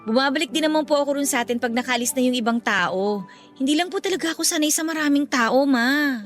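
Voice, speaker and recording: A woman's voice: high voice, quick pace, soft background music, natural voice. A woman playing a withdrawn, defensive young daughter in a Tagalog radio drama, with a sulky manner.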